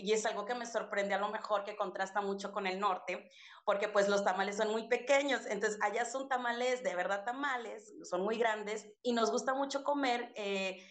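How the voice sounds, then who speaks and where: A woman from Chiapas with a Mexican Spanish accent, telling stories in a chatty, warm way with many fillers. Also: mid-range voice, roomy sound. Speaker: podcast guest voice. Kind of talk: casual storytelling chat.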